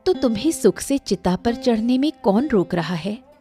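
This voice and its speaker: A woman's voice: a little high, expressive, normal speed, mellow, soft background music, natural voice. A woman voicing an authoritative, fair-minded queen in a cartoon story, speaking firmly and directly. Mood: firm, reproving. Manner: firm, direct. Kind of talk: Hindi cartoon story voice acting.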